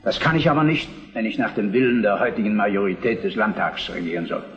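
A man's voice: fairly low pitch, lively intonation, soft background music, lightly acted. An actor as a grave, weary old king. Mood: grave, resigned. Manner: grave, measured, formal. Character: weary, dutiful aging king of Prussia. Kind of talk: historical German radio drama acting. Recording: dull reverberant radio play recording.

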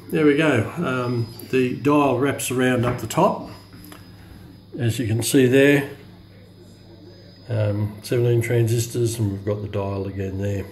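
Halting man, low-key demo, roomy phone recording. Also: a little mellow, slight background noise. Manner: halting, hesitant, thinking aloud. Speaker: radio reviewer. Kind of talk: casual, unscripted product demonstration. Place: indoor room in a home. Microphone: handheld phone camera.

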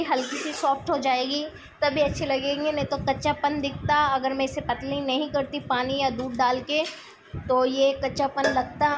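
Woman, high voice, sore throat, chatty home cook explaining steps; noisy kitchen phone recording. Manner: chatty, explains each step while stirring. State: sore throat, clearing it often. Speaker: cooking vlogger. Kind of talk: casual cooking demonstration. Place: home kitchen at a gas stove.

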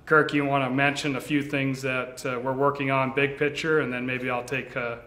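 A middle-aged man with a bright, forceful voice speaks earnestly as a company vice president, reassuring his listeners and often restarting phrases. He stands before a camera in a big office, and the room adds echo. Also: medium pitch, little pitch movement, normal speed. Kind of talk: video address to sales leaders. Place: large bright office with tall windows, indoors. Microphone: standing before a fixed camera, two-shot. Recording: echoey room.

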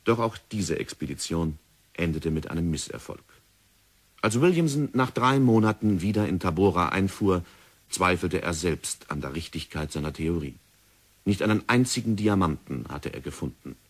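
A man narrating a radio drama in a measured, sober manner. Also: sits low, expressive, husky.

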